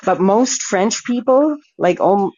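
A woman's voice: medium pitch, expressive, slow pace, a little mellow, muffled recording. A woman of forty from Canada, hosting a stream and chatting candidly with a caller, laughing often. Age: 40s. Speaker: stream host. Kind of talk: casual chat with a caller.

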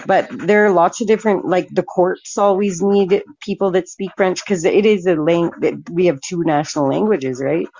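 A woman's voice: lowish voice, warm tone, slightly rough, highs cut off. A woman of forty from Canada, hosting a stream and chatting candidly with a caller, laughing often. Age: 40s. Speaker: stream host. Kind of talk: casual chat with a caller.

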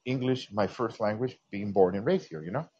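A man's voice: lowish voice, a little fast, darkish tone, breathy edge. A man from the United States calling into a podcast, talking earnestly and stumbling over repeated words. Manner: earnest, repetitive, stammering restarts. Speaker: caller. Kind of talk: casual call-in chat. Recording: dull lo-fi call audio.

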